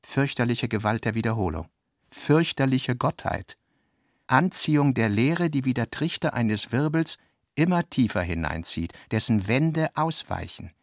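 A man narrating a literary radio play with deliberate, dramatic phrasing and pauses. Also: medium pitch, lively intonation, dry recording, clean studio sound.

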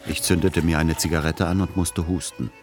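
An actor playing a middle-aged, exasperated literary aesthete son who also narrates a radio play in measured words. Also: male voice, sits low, steady tone, over music, close to an everyday voice. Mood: melancholic, reflective.

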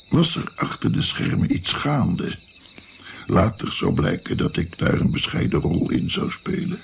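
A man's voice: sits low, creaky, slight background noise, full character voice. An actor playing an older musician and physician, recalling his naive youth in a reflective, hesitant, wry way in a radio play.

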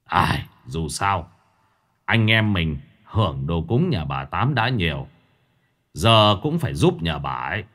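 A man's voice: low-pitched, big pitch swings, slow, fairly bright tone, pitched below the performer's own voice, exaggerated voice. A man voicing an older male ghost who leads his band with a firm sense of honor. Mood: commanding. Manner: firm, commanding.

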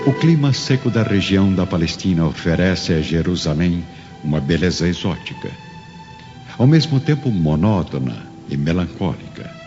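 A man's voice: sits low, very animated, normal speed, a little mellow. A man delivering solemn, theatrical scripted narration for a radio drama. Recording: long reverb, dull audio, music behind.

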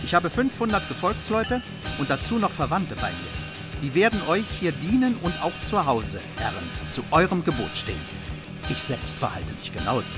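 Courtly noble envoy, music behind. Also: male voice, fairly high pitch, wildly swooping pitch, normal speed. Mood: loyal, resolute. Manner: formal, courteous, persuasive. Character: noble courtly margrave, earnest envoy pleading his lord's suit. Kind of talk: German radio play acting.

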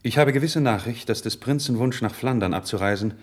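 An actor playing a composed, earnest nobleman advising his king in a classical radio drama, formal, deliberate and persuasive. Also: mid-range voice, breathy edge, lightly acted. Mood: measured, persuasive. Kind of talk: 1950s German classical radio drama acting.

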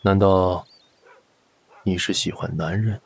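A man's voice: deep voice, steady tone, slow, slightly rough, exaggerated voice. A man playing a shrewd, worldly gambling boss in an audio drama, speaking with authority. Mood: worldly, probing.

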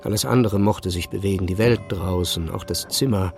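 A brooding man recounts things in a low, creaky voice over music. He is measured and restrained, turned inward, as in a literary audio drama. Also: a little mellow. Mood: tense, introspective. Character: brooding physicist soberly recounting his uncanny night. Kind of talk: literary audio drama, past-tense first-person narration.

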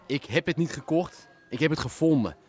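An actor playing a stubborn, defensive young suspect in a radio drama, evasive and exasperated. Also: male voice, on the high side, animated, brisk, a little mellow, breathy edge.

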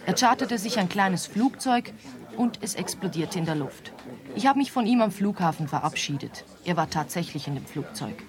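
Actress as a lowish-voiced, cool young fugitive woman. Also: lively intonation, moderate pace, close to an everyday voice. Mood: matter-of-fact. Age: young adult. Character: cool, hard-bargaining young woman on the run. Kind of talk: radio play acting. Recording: busy bar crowd behind the voice.

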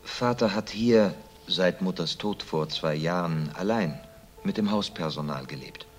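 An actor playing a reserved, well-off grown son of a murdered man in a radio crime drama, speaking in a measured, matter-of-fact, subdued way. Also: low-pitched. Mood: sober, subdued.

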